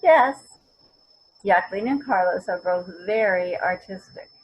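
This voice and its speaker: A woman playing a warm, idealistic mother in her eighties in a readers theater scene. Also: medium pitch, measured pace, lightly acted. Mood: warm, hopeful. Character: warm, idealistic eighty-three-year-old mother taking up a humanitarian mission. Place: at home on a video call. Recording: dull, noisy home video call.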